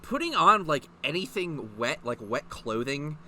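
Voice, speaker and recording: A man's voice: a little high, sing-song, unhurried, pushed and bright, slight background noise. A man reading a story aloud in a mocking, informal way, breaking off to add sweary, incredulous commentary. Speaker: online video host reading fan fiction aloud.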